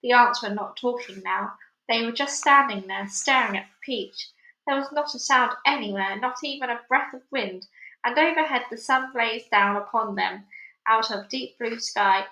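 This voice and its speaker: Woman, expressive children's read-aloud, bright clear-cut tone, slightly high pitch, echoey room. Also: moderate pace. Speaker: storybook reader.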